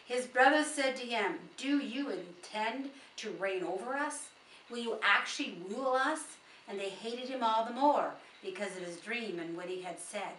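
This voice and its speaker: A woman's voice: fairly high pitch, moderate pace, a bit bright. A woman reading scripture aloud with informal asides, recorded at a distance in a roomy space with faint noise. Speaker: Bible reader.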